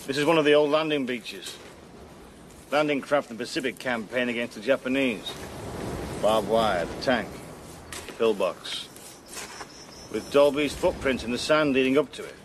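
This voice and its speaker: An actor plays a weary male spy in a Cold War radio drama. His delivery is dry, guarded and deadpan, with wry understatement. Clear background noise sits behind the voice. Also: mid-range voice, normal speed, long pauses, lightly acted. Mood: wary, wry. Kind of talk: radio spy drama acting with first-person narration.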